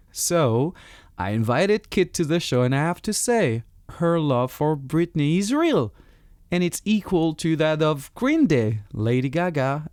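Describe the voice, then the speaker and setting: A man with a French accent hosting a podcast, talking in an animated, chatty way with frequent restarts. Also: a little high, dry recording, studio quality. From France. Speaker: podcast host. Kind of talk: casual interview chat.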